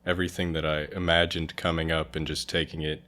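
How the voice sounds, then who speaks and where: A man's voice: very deep voice, flat delivery, fairly quick, sharp tone. A man speaking as a guest reviewer in a casual discussion, agreeable and plain-spoken.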